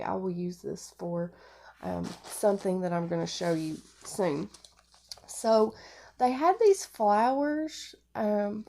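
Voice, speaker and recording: Casual craft vlogger, woman, stuffy nasal head-cold sound, soft dark tone, slow delivery. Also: mid-range voice, vocal fry. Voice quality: nasal, congested. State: congested, getting over flu. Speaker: craft video podcaster. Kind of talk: casual product showcase vlog.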